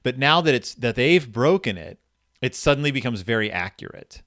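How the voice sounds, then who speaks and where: Male podcast host, lively swooping intonation, medium pitch, casual retelling, enthusiastic, some reading aloud, dry sound. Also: moderate pace, no echo. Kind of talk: casual story discussion with read-aloud passages.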